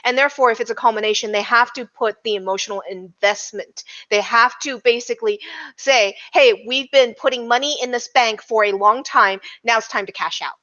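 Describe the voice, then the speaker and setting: A woman with a bright, slightly high voice, chatting casually on a film podcast. The recording is a little dull and lo-fi. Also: brisk. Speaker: podcast panelist.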